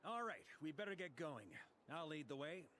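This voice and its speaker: An actor voicing an easygoing, seasoned leader in a video game, genial and teasing with a folksy turn of phrase. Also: male voice, a little high, lively intonation, normal speed, fairly bright tone, a little hiss or hum. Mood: jovial, teasing. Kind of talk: video game character dialogue.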